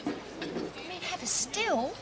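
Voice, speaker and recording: A woman's voice: extremely high pitch, sing-song, slow, exaggerated voice. An actress playing a prim, proper female inmate, rueful about her hangover. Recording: hiss on old TV audio.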